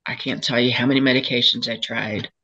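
Older woman, raspy, hoarse from a cold, bright forceful tone, earnest testimony, webcam call. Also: a bass voice, steady tone, a little fast, dull audio. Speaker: patient sharing her experience. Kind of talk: personal testimony at a panel. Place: home room, indoors, seated in a chair. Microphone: video call webcam.

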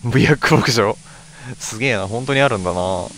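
A man's voice: fairly low pitch, brisk, a bit bright, slight background noise. A man hosting a let's play, chatting casually to viewers and reading bits of on-screen text aloud as he goes.

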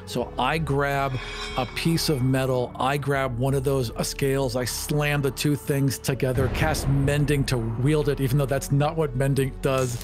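Mid-pitched man playing a tabletop game. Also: steady tone, measured pace, music in the background. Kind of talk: casual in-game narration.

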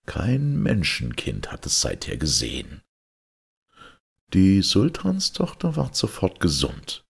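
A man reading a fairy tale aloud as an expressive storyteller. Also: sits low, slow pace, gravelly, husky.

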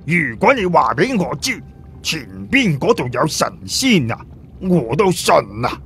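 Excitable male adventurer sidekick for audiobook, played by a man, exclamatory, higher-pitched, faint noise. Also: unhurried. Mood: awed.

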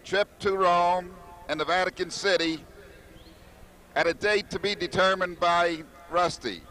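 A man giving a slow, light-hearted ceremonial speech outdoors at a stadium. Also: sits high, bright, forceful tone, slight background noise. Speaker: ceremony speaker presenting a gift.

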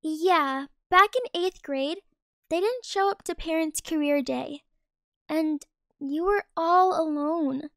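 A woman's voice: very high voice, slow pace, a bit bright, no room sound, studio quality, heavily acted. A young female voice actor playing a snappy, sassy teenage schoolgirl in an amateur game movie.

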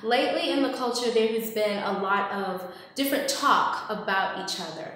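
An upbeat woman host speaks straight to her viewers, welcoming them in. Her voice rings in a bright living room with a strong echo and a long reverb tail. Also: medium pitch, normal speed. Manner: lively, welcoming, addresses viewers directly. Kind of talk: upbeat show introduction. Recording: echoey room.

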